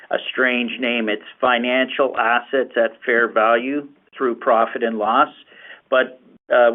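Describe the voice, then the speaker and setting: A man speaking as a finance executive answering questions on an earnings call, explaining haltingly and repeating words. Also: mid-range voice. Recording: phone-like, narrow conference call line.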